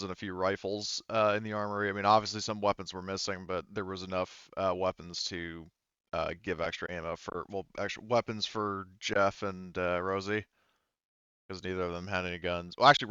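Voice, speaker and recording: A man running a tabletop game as game master, talking informally and haltingly as he searches for words. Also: deep voice, fairly even intonation, bright, forceful tone, smooth, dry recording, highs cut off. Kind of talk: casual game narration at a tabletop session.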